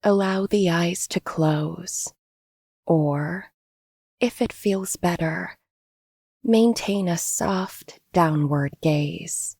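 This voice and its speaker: A woman gently guiding a body scan meditation in an unhurried, scripted, instructional way. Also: fairly low pitch, breathy edge, dry recording, clean studio sound. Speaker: meditation guide.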